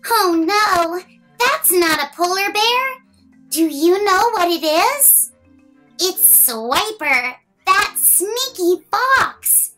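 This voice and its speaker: A woman's voice: very high voice, sharp tone, poor audio. A woman in her thirties reading a picture book aloud to young children, playful and exaggerated, asking the listeners questions.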